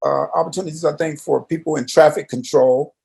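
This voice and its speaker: An older man in a casual interview conversation, talking in a halting, rambling, folksy way. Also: on the high side, fairly even intonation, gravelly, a little mellow.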